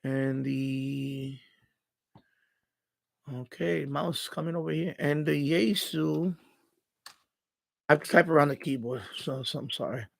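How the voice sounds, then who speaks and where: A middle-aged man hosting a casual live stream, rambling and thinking aloud in a halting way. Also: a little high, creaky, a bit bright.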